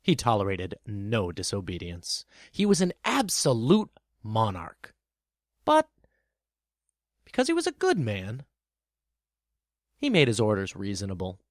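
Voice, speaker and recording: A man narrating a children's tale as a podcast storyteller, with expressive, measured and dramatic phrasing. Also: on the low side, no echo, clean studio sound.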